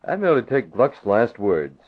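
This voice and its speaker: An actor playing a determined newspaper publisher, measured and thoughtful, in an old-time radio drama. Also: male voice, mid-range voice, sing-song, slightly rough. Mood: resolute, musing. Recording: tinny old radio recording.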